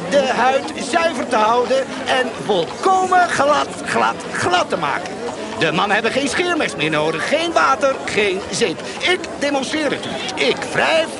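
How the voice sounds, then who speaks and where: High, swooping market quack. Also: male voice, full character voice. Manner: declamatory, repeats words for effect. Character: fast-patter market quack hawking miracle stones, grandiose and sly. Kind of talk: radio play acting, street sales pitch. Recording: busy outdoor crowd behind.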